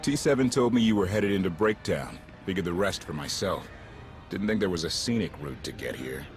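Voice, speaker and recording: A cocky, streetwise rogue in a video game. The voice sits fairly low and moves around a lot, casual and brash, with faint music underneath. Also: male voice, animated, brisk.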